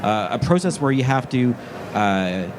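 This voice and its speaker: A man on a conference panel, halting and full of fillers as he explains his book title. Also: medium pitch, sing-song, noisy background. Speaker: author on a panel. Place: large conference stage.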